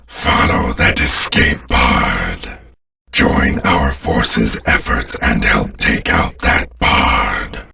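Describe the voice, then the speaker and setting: Video game villain: imperious alien warlord, extremely low register, forceful and biting, menacing rasp, commanding and contemptuous. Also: male voice, recorded from a distance, heavily acted. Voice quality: deep, gravelly, menacing rasp. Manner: pompous, commanding, slow and deliberate.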